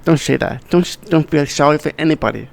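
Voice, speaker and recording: A middle-aged man talking as a podcast guest in casual conversation, blunt, swearing freely, with halting restarts. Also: on the high side, gravelly. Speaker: podcast guest, wedding videographer.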